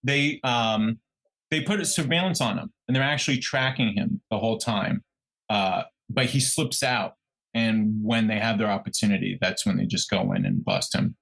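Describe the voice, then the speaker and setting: A man co-hosting a true crime podcast, telling the story conversationally in a halting way, often backtracking to correct himself. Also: on the low side, lively intonation, gravelly, fairly bright tone, rough recording.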